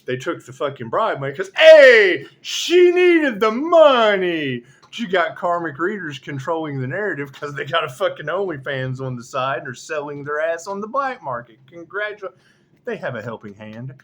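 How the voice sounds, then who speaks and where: A man delivers a profane, sarcastic tarot monologue. His somewhat high voice swings widely up and down in pitch as he rants. He laughs often between remarks. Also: big pitch swings, normal speed, fairly bright tone. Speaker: tarot reader. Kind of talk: rambling monologue.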